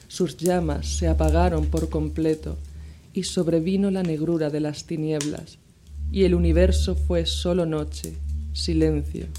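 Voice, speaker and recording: A woman giving a slow, measured dramatic reading of a horror tale, with long pauses between phrases. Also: low voice, dark tone, slight background noise. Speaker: reader.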